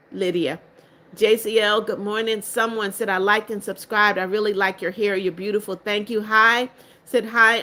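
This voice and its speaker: Bright-voiced strict older woman. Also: medium pitch. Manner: strict, firm about blocking spammers. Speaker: livestream host. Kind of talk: reading out live chat comments.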